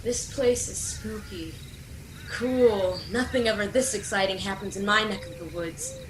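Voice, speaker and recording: A woman's voice: medium pitch, measured pace, echoey room, background noise, natural voice. A woman playing a headstrong, naive young fairy-tale girl with exaggerated modern sass in a comic radio play. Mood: curious, nervous.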